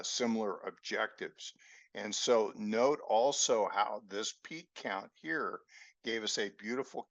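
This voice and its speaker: A man talks through stock charts in a slow, measured way, his low voice gravelly and a little hoarse. The sound is dry and dull, like a home desk mic on a screen recording. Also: a bit bright, no echo. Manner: slow, measured explaining with fillers. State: losing his voice. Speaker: market analyst host. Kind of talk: screen-share chart lesson.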